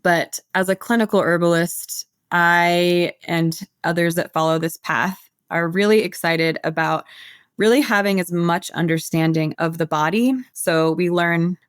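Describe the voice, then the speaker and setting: Low-voiced woman herbalist explaining. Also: flat delivery, a little slow, clean tone, no room sound, studio quality. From United States. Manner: earnest, flowing explanation with many "like" fillers. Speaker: herbalist guest. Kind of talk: casual podcast interview.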